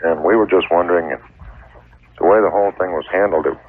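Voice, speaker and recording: Middle-aged male caller, very low voice, measured and halting, tinny phone line on radio. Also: a little hiss or hum. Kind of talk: explaining a problem on a phone-in.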